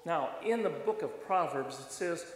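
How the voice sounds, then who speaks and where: A man's voice: on the high side, lively intonation, moderate pace, slight background noise. An older man, a retired pastor, preaching a folksy, colloquial sermon to a congregation in a church. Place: church sanctuary, standing at the front. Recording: very echoey church hall.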